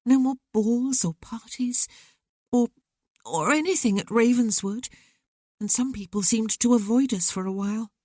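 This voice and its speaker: A woman reading as an earnest, talkative young woman with a voice lifted well above the reader's own, rising and falling eagerly. The tone stays mellow and the recording is close and dry, with no room sound. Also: on the high side, moderate pace, no room sound, pitched above the performer's own voice, close to an everyday voice. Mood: earnest, wistful. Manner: lively, talkative, candid. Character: outspoken young woman, sensitive, earnest sister.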